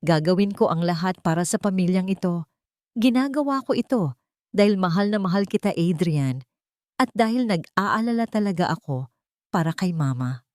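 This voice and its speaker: A scheming fiancée in a dramatic romance audio story, acted out by a woman narrator. Her pitch is fairly low for a woman and moves in lively swings. The sound is dry, with no room around it. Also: moderate pace, dry recording, lightly acted. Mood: pleading, tender. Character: scheming woman, sweetly tearful fiancée to faces, coldly menacing in secret.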